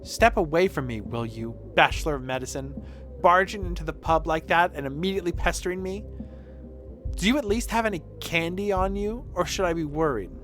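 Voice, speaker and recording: A man's voice: on the high side, brisk, a bit bright, soft background music, lightly acted. A man voicing a guarded, prickly woman from a video game, snappish and taunting as he reads her dialogue. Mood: curt, irritated. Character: guarded, prickly woman, mocking and candy-obsessed.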